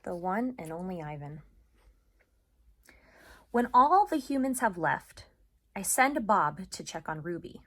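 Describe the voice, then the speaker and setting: A woman's voice: fairly low pitch, very animated, a little slow, long pauses, a bit bright, a little hiss or hum. A woman doing an informal read-aloud of a children's story.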